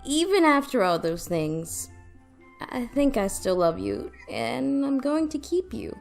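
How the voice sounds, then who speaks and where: A woman's voice: high voice, big pitch swings, a little slow, creaky, darkish tone, soft background music, heavily acted. A woman playing a sardonic, possessive newlywed wife in retro radio drama style, dry, sarcastic and commanding. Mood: stern, possessive. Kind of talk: retro 1940s radio comedy-thriller acting.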